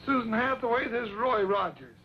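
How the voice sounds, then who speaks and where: An actor playing a folksy, eccentric older judge in an old western radio drama, creaky and a little pompous. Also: male voice, animated, brisk. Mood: genial. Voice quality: creaky, high-pitched. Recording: dull, hissy old radio recording.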